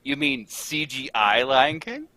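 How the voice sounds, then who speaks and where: A man's voice: mid-range voice, very animated, slow pace, pushed and bright. A man who reads in an old radio script stream, chatting casually with playful, self-mocking quips. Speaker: cast member of a radio script reading. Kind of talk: casual chat.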